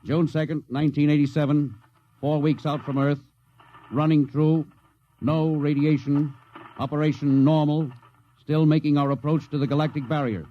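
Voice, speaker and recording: An actor playing a steady, commanding American starship captain in a 1950s radio drama. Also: male voice, a little high, moderate pace, highs cut off, natural voice. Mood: commanding, brisk. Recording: vintage 1950s radio recording, music behind.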